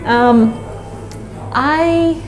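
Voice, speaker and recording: A woman's voice: high-pitched, very slow, music in the background. A young woman, an expat English teacher, chatting casually in an interview with many fillers and frequent laughs. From United States.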